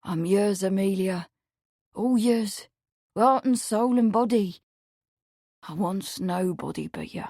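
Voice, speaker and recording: A woman voicing a young male servant, earnest and awkward, speaking emphatically in rough dialect grammar. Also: medium pitch, slow pace, pauses often, mellow, no echo, studio quality.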